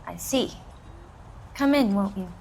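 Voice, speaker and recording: An actress playing a hard-bitten, defensive 1940s American widow in a video game, curt, dry and unsentimental. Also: female voice, mid-range voice, lively intonation, measured pace, vocal fry, a little mellow, a little hiss or hum. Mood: guarded. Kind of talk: dramatic video game acting.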